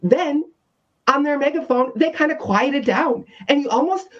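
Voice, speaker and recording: A woman with a loud voice, a guest activist telling her story in a casual radio discussion, with many restarts. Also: sits high, moderate pace, lo-fi sound.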